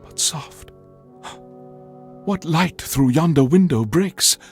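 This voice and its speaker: A male alien overlord hosting video game radio with immense self-importance. He declaims like a Shakespearean stage villain, slowly and precisely. His pitch sweeps widely through each grand, cultured phrase. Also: expressive, faint music behind. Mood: lovestruck, reverent. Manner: pompous, grandiose, deliberate enunciation. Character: pompous, theatrical alien overlord radio host, male, erudite and menacing. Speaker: radio DJ host. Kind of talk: theatrical Shakespearean recitation and radio presenting.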